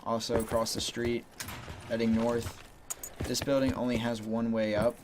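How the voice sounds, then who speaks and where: A man's voice: medium pitch, monotone, normal speed, background noise. A man giving rambling, casual gameplay tips, talking loosely with lots of "you know" and "like". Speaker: gaming channel host.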